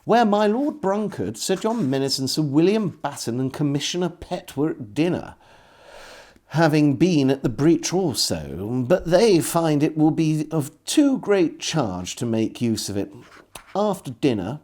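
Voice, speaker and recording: A man reading an old diary aloud as a storyteller, in measured phrases with deliberate pauses. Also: fairly high pitch, big pitch swings, darkish tone. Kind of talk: scripted literary narration of an old diary.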